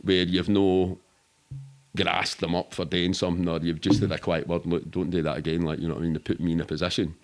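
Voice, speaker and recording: A man's voice: low voice, flat delivery, brisk. A middle-aged man with a Glaswegian accent, telling rambling, sweary, matter-of-fact anecdotes in casual interview chat. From Scotland. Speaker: podcast guest.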